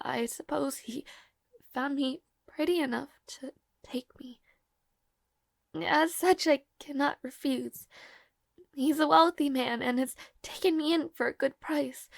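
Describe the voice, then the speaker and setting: A woman playing a timid, devoted young maid in a dramatic audio roleplay, with halting, stammering, breathy speech. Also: high-pitched, no echo, heavily acted. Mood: resigned, sorrowful.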